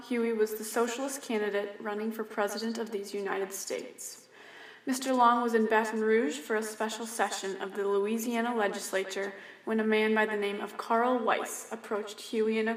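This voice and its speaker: A woman's voice: a little high, a bit bright, echoey room. A young woman reading a scripted news report as the presenter of a mock 1930s radio broadcast.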